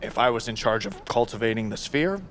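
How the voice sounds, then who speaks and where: A man's voice: mid-range voice, animated, brisk, fairly bright tone, a little hiss or hum, close to an everyday voice. A man playing an easygoing, considerate survival expert in audio fiction, with a hesitant, self-deprecating manner.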